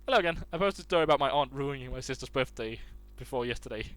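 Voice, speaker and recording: A man's voice: mid-range voice, lively intonation, racing, a bit bright, no room sound. A man reading online posts aloud in a casual way, stumbling and restarting words as he goes.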